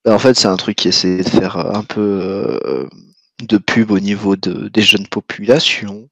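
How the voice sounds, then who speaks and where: A man's voice: lowish voice, moderate pace, gravelly, dry recording. A man from Paris chatting casually and joking before a game session, rambling with fillers.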